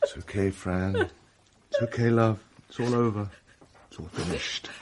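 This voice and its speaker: Man acting a low-voiced, hesitant witness. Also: fairly even intonation, noisy background, lightly acted. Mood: soothing. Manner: halting, subdued. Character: hesitant, protective male witness explaining himself. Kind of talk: radio mystery drama acting.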